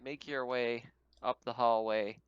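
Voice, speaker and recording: A man's voice: medium pitch, steady tone, measured pace, clean tone, no room sound. A man speaking casually as game master, narrating and refereeing a tabletop roleplaying game, swearing freely, on a slightly muffled microphone.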